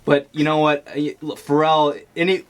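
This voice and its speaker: A man's voice: fairly high pitch, flat delivery, normal speed, smooth. A man hosting an interview, chummy and joking, often stumbling over restarts. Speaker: interview host. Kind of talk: casual interview chat.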